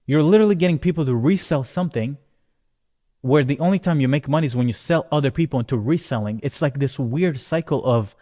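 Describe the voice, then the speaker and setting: A man's voice: fairly high pitch, fast, warm tone. A man from Rwanda who moved to Canada as a teenager, an entrepreneur giving a conversational, emphatic motivational talk that often checks in with the listener. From born in Rwanda, moved to Canada at thirteen. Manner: conversational, emphatic, punctuated with check-in questions like "right?". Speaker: entrepreneur presenting. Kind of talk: motivational life-story talk to camera. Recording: long hall-like reverb.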